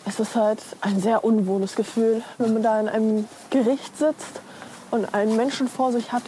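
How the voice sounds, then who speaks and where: A young woman gives a personal interview. Her voice is fairly high yet mellow and soft-edged, recorded with faint ambient noise behind her. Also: poor audio. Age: young adult. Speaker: interviewee, relative of crime victim.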